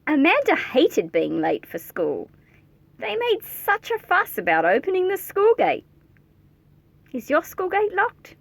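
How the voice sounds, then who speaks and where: A woman reading a children's picture book aloud in an expressive, playful way, speaking directly to her young listeners with chatty asides. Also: extremely high pitch, a little slow, gravelly, dry recording.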